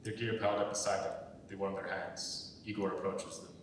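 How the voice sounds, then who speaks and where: A man's voice: level tone, fairly quick. A young adult man reading stage directions as scripted narration for a dramatic reading. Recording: very echoey, distant, rumbling background noise.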